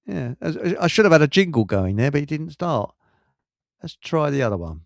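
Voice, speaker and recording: A man's voice: a little high, big pitch swings, moderate pace, vocal fry, no echo, clean studio sound, faint music behind. A man hosting a live radio show in casual, rambling chat, often muttering to himself.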